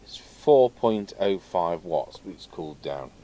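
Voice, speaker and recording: A man's voice: low voice, lively intonation, unhurried, mellow. A man giving casual hands-on commentary as he works, muttering short remarks to himself. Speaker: radio repair vlogger. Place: indoors at an electronics workbench. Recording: clear background noise from a workshop. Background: background noise.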